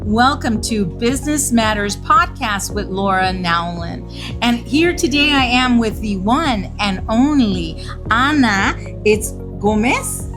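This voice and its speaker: A woman hosts a podcast with an upbeat, inviting manner, tossing out quick questions. Her voice sits a little high. Music plays behind her in the restaurant. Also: animated. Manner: upbeat, welcoming, asks quick follow-up questions. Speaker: podcast host. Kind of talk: casual interview chat.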